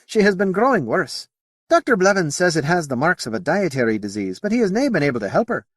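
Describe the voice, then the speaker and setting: Young Scottish ship's officer male narrator, courteous, curious, raised animated voice, science fiction storybook. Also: on the high side, fast, no echo, studio quality, voice pushed up. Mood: concerned. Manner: polite, dialect-laden speech. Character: young Scottish spaceship midshipman, polite and inquisitive.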